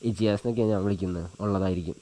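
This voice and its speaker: Man, lowish pitch, very mellow tone, informal vlogger, thinking aloud, hands-on tutorial chat. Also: fairly even intonation, very fast. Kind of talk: casual chat while demonstrating an installation. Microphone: action camera mounted on a helmet he wears.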